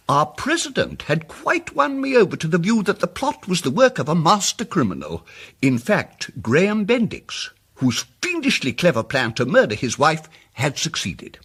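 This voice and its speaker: A diffident English gentleman detective from a radio mystery. His voice is husky and a little high, swinging widely in pitch. He is polite and apologetic, feeling his way into sentences yet gently persisting. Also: exaggerated voice. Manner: hesitant, polite, circling, stammering starts. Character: timid, diffident, apologetic amateur sleuth gentleman, quietly persistent. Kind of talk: radio mystery drama acting.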